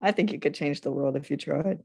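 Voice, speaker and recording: A woman's voice: low-pitched, a little fast, vocal fry, a little mellow, breathy edge, no echo, exaggerated voice. A woman playing a sardonic, teasing teenage best friend at a table read of a film script.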